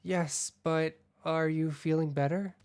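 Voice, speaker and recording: An actor playing a timid, kindly royal attendant in an audio drama, polite and deferential, somewhat hesitant. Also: male voice, fairly high pitch, steady tone, slow pace, a little mellow, clear, resonant tone, no room sound. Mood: awkward, polite.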